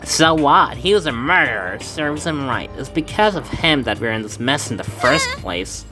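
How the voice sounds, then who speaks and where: A man's voice: mid-range voice, big pitch swings, moderate pace, pushed and bright, soft background music. A man reading a fan game aloud, voicing its characters with casual asides and stumbles. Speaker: let's play fan dubber. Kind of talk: casual game playthrough with voiced dialogue.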